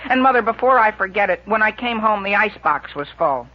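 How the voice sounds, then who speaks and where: A man's voice: high voice, lively intonation, fairly quick. A man playing an eager, muddled, earnest teenage son in 1940s radio comedy style. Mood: hesitant, earnest. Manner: earnest, dutiful, hesitant, gee-whiz boyishness. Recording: dull lo-fi 1940s radio broadcast.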